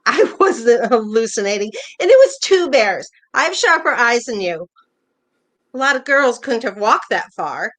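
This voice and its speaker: A woman's voice: fairly high pitch, sing-song, measured pace, fairly bright tone, heavily acted. A woman playing a hurt, jealous older wife, pointed and probing, in a naturalistic play reading. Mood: stubborn. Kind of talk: virtual staged play reading, naturalistic dialogue.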